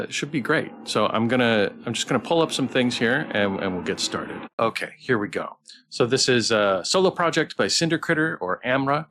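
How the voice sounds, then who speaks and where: A man's voice: fairly low pitch, a bit bright. A tired man hosting a game livestream, chatting casually with viewers in a hesitant, rambling way with repeated phrases and restarts. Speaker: livestream host playing a visual novel. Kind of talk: casual chat with stream viewers.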